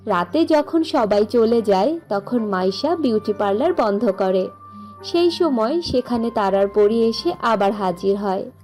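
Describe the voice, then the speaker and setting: A woman's voice: fairly high pitch, animated, a little slow, a little mellow, soft background music. A woman narrator reading a scripted fairy tale for a cartoon.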